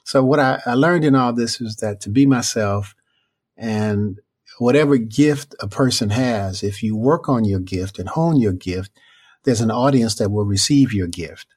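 A man, a preacher and author, answering interview questions in a reflective, unhurried way with many fillers and restarts; his voice is neither booming nor deep. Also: a little mellow, husky.

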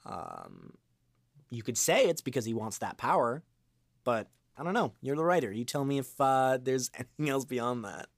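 A man speaks casually as an interview guest. His delivery is unscripted and hesitant, rambling through asides at a middling pitch. The sound is dry, with hardly any room on it. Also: huge pitch swings, moderate pace, creaky, no room sound.

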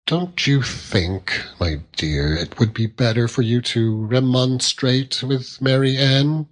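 A man voicing a fond, earnest young Victorian husband who gently reproves and reasons, in a storybook reading. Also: mid-range voice, slow, voice pushed up. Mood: tender, prodding.

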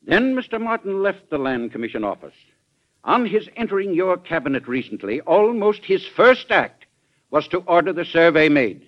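Crusading american govt official, higher voice, oratorical old radio drama style, dull vintage recording. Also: male voice, big pitch swings, moderate pace. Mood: accusing. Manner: oratorical, formal, urgent. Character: earnest, crusading male government official, formal and indignant.

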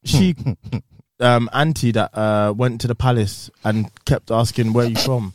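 A man's voice: medium pitch, steady tone, measured pace, a little mellow, dry recording. Blunt man, dry close mic. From England. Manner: frank, blunt, swears casually. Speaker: podcast co-host. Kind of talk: casual chat.

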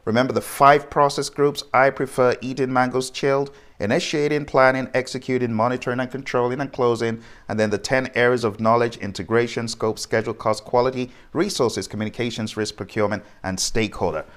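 Male instructor, medium pitch. Conversational lecture, emphatic, speaks right to the student. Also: steady tone, brisk, few pauses, fairly bright tone. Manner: rhetorical questions, addressing the listener directly. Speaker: project management instructor. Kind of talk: informal educational lecture.

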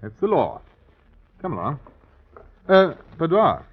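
A courteous British police inspector of the colonial era in a vintage radio play. His voice is husky and swings widely in pitch, with hesitant, proper phrasing. The recording is dull and old. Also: huge pitch swings, slow pace, full character voice. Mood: polite, firm. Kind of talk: old-time radio drama acting.

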